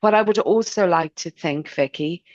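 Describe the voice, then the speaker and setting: A middle-aged voice coach from Ireland with a mixed Irish and South African accent, talking in a gentle, chatty, expressive way. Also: female voice, lowish voice, moderate pace, no echo, dull audio. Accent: Irish and South African English mix. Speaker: voice and speech coach. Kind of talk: podcast interview answer.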